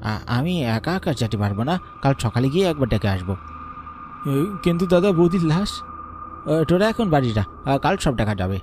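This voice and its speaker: A man's voice: medium pitch, sing-song, brisk, a little mellow, a little hiss or hum. A man voicing several frightened village men in a horror cartoon, stammering often. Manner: stammering, fearful. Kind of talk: cartoon voice acting. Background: music.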